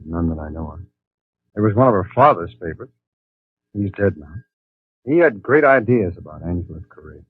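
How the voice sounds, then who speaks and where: A man's voice: low-pitched, expressive, normal speed, long pauses, husky. An actor playing an anxious, hesitant husband worried about his ill wife in a 1950s radio drama. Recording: tinny old radio recording with music.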